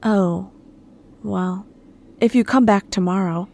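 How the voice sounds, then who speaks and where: An actress playing a sweet, innocent, trusting young woman in old-time radio suspense style, with a gentle, soft manner. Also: medium pitch, slow, vocal fry. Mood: warm, trusting.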